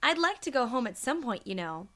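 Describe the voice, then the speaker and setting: A woman's voice: a little high, sing-song, brisk, pushed and bright. A woman voicing a sly, teasing female pony with a knowing manner in a dramatised story reading. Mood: mild weariness. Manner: playfully knowing.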